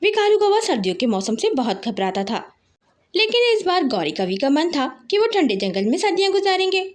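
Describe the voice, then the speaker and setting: A woman's voice: high voice, sing-song, brisk. A woman storyteller narrating a scripted children's tale.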